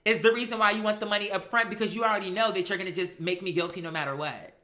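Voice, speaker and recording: An American live-stream host telling stories casually in an animated, emphatic, slangy way, acting out exchanges. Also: medium pitch, racing.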